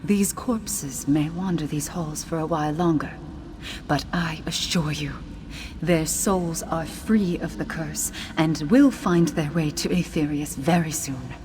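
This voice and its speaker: An actress voicing the remorseful ghost of a loving mother in a video game, earnest and sorrowful in measured speech. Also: sits low, background noise. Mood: solemn, resigned. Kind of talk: dramatic video game dialogue.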